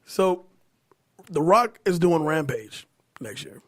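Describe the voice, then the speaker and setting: A man's voice: a little high, expressive, slow, fairly bright tone. A man hosting a casual podcast chat, steering the topics in informal, slangy language. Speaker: podcast host.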